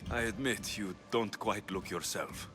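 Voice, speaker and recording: A man acts out an earnest young warrior from a video game, with a fairly low voice. He is determined and serious, and music plays prominently behind him. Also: measured pace, close to an everyday voice. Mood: grave, gentle. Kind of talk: dramatic cutscene acting.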